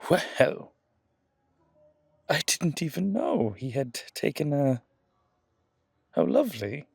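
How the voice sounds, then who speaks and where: A man playing an effusive, flattering, flirtatious museum curator in a roleplay audio drama, genteel and gushing, with hesitant pauses and repeated words, in a husky, creaky voice. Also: on the high side, no room sound, studio quality, heavily acted. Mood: flattering, discreet.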